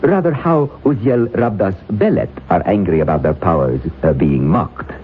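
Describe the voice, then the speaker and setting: A man's voice: low-pitched, expressive. An actor playing a suave, menacing male occultist author in a 1970s radio drama, talking in a silky, mocking way. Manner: silky, mocking, slow and deliberate. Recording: tinny lo-fi radio broadcast with faint hiss.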